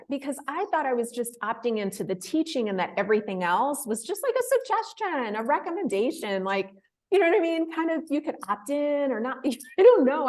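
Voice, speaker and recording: A woman in her thirties, a guest in an interview, telling her story in a casual, animated way, laughing often and acting out the voices of people she quotes. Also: high-pitched. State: emotional, close to tears. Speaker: interview guest, fellowship winner.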